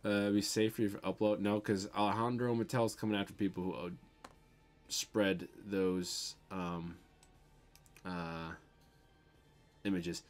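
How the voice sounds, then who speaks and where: A man's voice: low-pitched, little pitch movement, unhurried, long pauses, faint music behind. A young man with a Midwestern accent, chatting loosely on a livestream from his bedroom and answering viewer comments, with lots of ums and casual swearing. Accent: Midwestern American. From American Midwest. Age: young adult. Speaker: toy unboxing livestream host. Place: bedroom, indoors.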